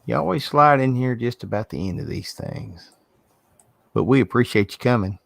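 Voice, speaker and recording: A man's voice: mid-range voice, moderate pace, gravelly, no room sound. Southern male host, casual rambling delivery, dry laptop-call audio. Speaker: host of an art livestream. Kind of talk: casual chat. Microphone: video call from a laptop.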